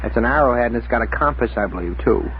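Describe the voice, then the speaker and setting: A man's voice: mid-range voice, animated, fast talker, crowd noise. A man chatting casually on a radio panel, putting curious questions to the guest. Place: radio studio. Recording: tinny, noisy old radio recording.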